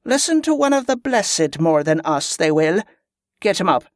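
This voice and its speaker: Fantasy audiobook, stern woman commander played by a man, raised pitch, scornful, clipped orders, inverted dialect phrasing, dry sound. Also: very animated, normal speed, no room sound. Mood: commanding, urgent.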